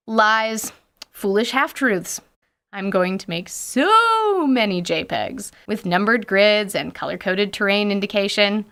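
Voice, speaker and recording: A woman presenting scripted gaming commentary as a host. Her delivery is playful and theatrical, leaning on emphasis and mock-dramatic asides, at an ordinary mid pitch for a woman. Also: a bit bright. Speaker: podcast host and game master.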